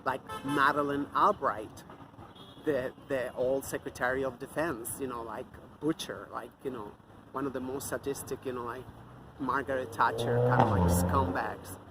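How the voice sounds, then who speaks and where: A man's voice: mid-range voice, normal speed, background noise. Angry man ranting outdoors. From Mexico. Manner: angry, profane, scornful. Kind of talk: off-the-cuff ranting monologue.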